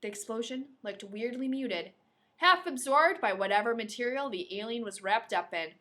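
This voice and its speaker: A woman's voice: on the high side, animated, normal speed, fairly bright tone. A woman podcast host reading a novel aloud expressively, breaking into playful, casual asides.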